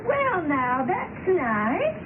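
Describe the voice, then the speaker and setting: Country housekeeper, obliging, high-pitched and animated, old radio drama with noisy, tinny sound. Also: female voice, extremely slow, exaggerated voice. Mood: polite. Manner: polite, deferential. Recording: noisy, band-limited vintage radio broadcast.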